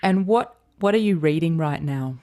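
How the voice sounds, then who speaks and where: A woman hosts a podcast and speaks in a low, mellow voice. Her delivery is measured and friendly. The sound is dry and close. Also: expressive, no echo. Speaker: podcast host. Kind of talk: scripted intro then casual interview.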